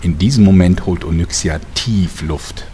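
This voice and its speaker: Male actor as deadpan storyteller, very low voice, mock-solemn, comic audio drama, faint noise. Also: moderate pace, natural voice. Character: mock-serious narrator addressing the kids. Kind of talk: scripted parody narration.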